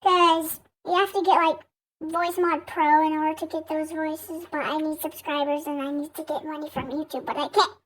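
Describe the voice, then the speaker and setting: A casual, rambling video creator speaking through a high, squeaky helium-style voice changer. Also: flat delivery, moderate pace, fairly bright tone. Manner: informal, rambling. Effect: high-pitched helium-style voice changer. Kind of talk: casual vlog demonstrating a voice changer.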